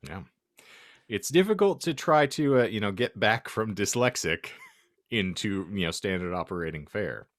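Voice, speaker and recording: A man's voice: on the low side, lively intonation, moderate pace, dry recording, clean studio sound. A man hosting a comedy podcast, reading fan fiction aloud in a profane, mock-exasperated way between casual chat. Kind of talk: comic dramatic reading mixed with casual chat.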